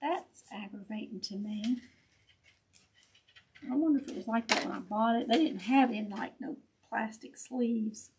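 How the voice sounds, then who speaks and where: A woman with a raspy, gravelly voice and a slightly raised pitch, chatting freely as she works through a live craft tutorial. She talks straight to her viewers in an easy, rambling way and laughs often. Her voice has a faint hiss of background noise behind it. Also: moderate pace, darkish tone. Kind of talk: casual live craft chat.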